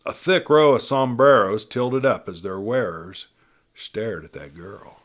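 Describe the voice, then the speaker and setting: Male western audiobook narrator, dramatic and expressive, very animated intonation. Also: fairly low pitch, measured pace. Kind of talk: scripted narration of a western novel.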